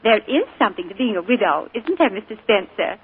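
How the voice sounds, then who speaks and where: An actress playing a coy, fluttery widow in a 1940s radio drama, on a tinny old broadcast. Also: female voice, mid-range voice, sing-song, brisk, slightly rough.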